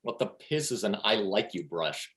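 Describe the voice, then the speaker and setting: A man's voice: lowish voice, lively intonation, normal speed, a bit bright. An actor in a play table read over video call, playing a crude, cocky male buddy with a profane, sarcastic manner. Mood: cocky banter. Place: remote video call reading.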